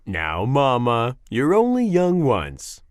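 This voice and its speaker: A woman voicing a big, gruff father bear in a children's storybook, with slow, emphatic delivery. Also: wildly swooping pitch, dry recording, clean studio sound, exaggerated voice. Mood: indulgent. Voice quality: deep, gruff.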